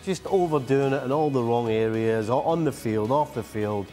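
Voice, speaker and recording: A man's voice: medium pitch, warm tone, faint music behind. A man with a Scottish accent, a football pundit chatting and bantering on a panel show. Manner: chatty, quick to change his mind, good-humoured.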